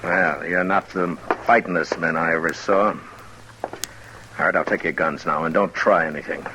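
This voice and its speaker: An actor playing a tough, terse frontier marshal with a dry, commanding manner in an old radio western. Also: male voice, low-pitched, fairly even intonation, a little slow, noisy background. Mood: stern, commanding. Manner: terse, commanding, dryly sardonic. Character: tough, stern frontier US marshal telling his own story. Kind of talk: 1950s radio western acting and narration. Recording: old radio broadcast with loud music behind.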